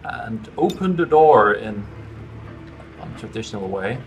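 A man's voice: lowish voice, animated, measured pace, faint music behind. A man in his thirties giving casual let's play commentary at home, speaking into a headset. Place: small room at home. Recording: clear background noise, keyboard typing.

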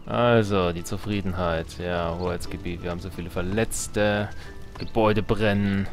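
A man's voice: low voice, fairly even intonation, moderate pace. A man giving casual Let's Play commentary on a strategy game, colloquial and playfully exasperated, half talking to himself. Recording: game music behind the voice. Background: video game music.

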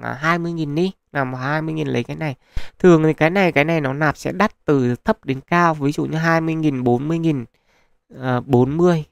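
A man's voice: medium pitch, creaky, no room sound, studio quality. A man hosting a gaming channel, talking viewers through a mobile game in a casual, chatty way with plenty of fillers. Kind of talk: casual gameplay commentary. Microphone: close mic, voice-over on screen capture.

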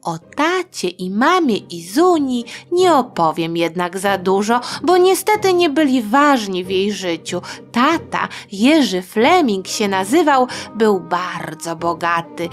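A woman's voice: mid-range voice, very animated, slow, few pauses, faint music behind. A woman hosting a storytelling podcast for young listeners, explaining history in a simple, conversational way.

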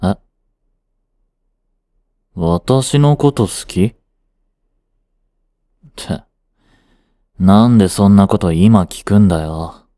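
Romance voice drama: cool, secretly fond high school boyfriend, played by a man; slow, curt, dry delivery, very dry close sound. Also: animated, dark tone, no room sound, heavily acted. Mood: flustered, annoyed. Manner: cool, curt, nonchalant teasing. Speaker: voice actor. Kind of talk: romantic situation voice drama, one-sided dialogue.

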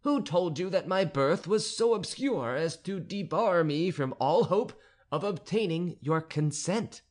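A man's voice: sits high, lively intonation, unhurried, a little mellow, voice pushed up. A man reading an audiobook, voicing a desperate, disgraced abbé who talks in an earnest, imploring way. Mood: pleading.

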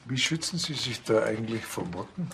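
An actor playing a quirky, earnest patrol cop with a musing manner in a TV crime comedy. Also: male voice, mid-range voice, lively intonation, brisk, gravelly, fairly bright tone, slight background noise. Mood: curious. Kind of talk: German TV crime comedy acting.

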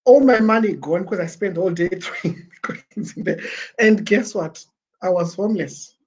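A Zimbabwean man in his forties talking on a webcam livestream, animated and gesturing, slipping Shona words into his English. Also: sits high, normal speed, slightly rough. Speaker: livestream presenter. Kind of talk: casual livestream pitch. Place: small plain room indoors. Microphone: webcam at desk.

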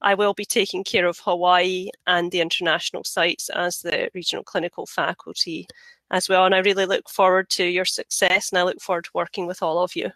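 A woman's voice: lowish voice, little pitch movement, a little fast, a bit bright, no room sound. A woman with a Scottish accent from Scotland, introducing herself as clinical director on a video call.

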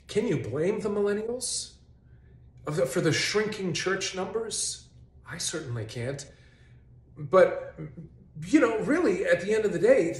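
A man in his forties hosting a faith video, giving sarcastic, mock-incredulous commentary straight to camera in a bright living room. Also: sits high. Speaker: host of a faith video series. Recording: roomy echo in a home.

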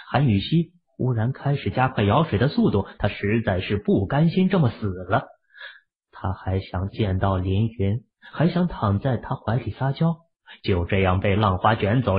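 A man narrating an audio drama in a steady storytelling manner, with a husky, breathy voice. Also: lowish voice. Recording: muffled lo-fi audio.

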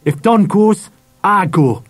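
A man's voice: high voice, expressive, slow, a bit bright, breathy edge, pitched above the performer's own voice, heavily acted. A man voicing a hurt, defiant boy in a storybook, speaking earnestly.

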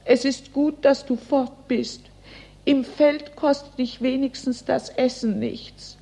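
Soldier's wife, high voice, very slow, simple deliberate phrasing, radio drama. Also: female voice, fairly even intonation, dark tone, full character voice. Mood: matter-of-fact. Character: plain soldier's wife reading out her letter. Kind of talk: radio-play letter monologue.

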